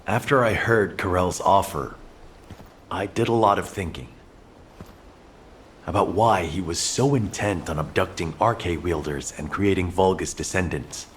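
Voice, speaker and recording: A man playing an earnest, remorseful commander in a sci-fi video game cutscene, solemn and measured. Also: deep voice, a little hiss or hum. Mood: grave, resolute.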